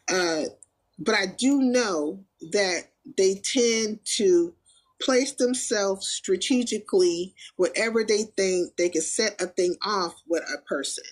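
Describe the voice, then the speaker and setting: A woman's voice: medium pitch, slow. A woman in a blunt, probing casual chat.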